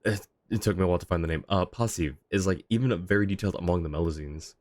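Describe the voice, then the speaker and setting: A man livestreaming a game with casual, thoughtful commentary as he chats with viewers, often pausing to find his words. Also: deep voice, a little mellow, no echo. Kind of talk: casual live commentary, answering chat.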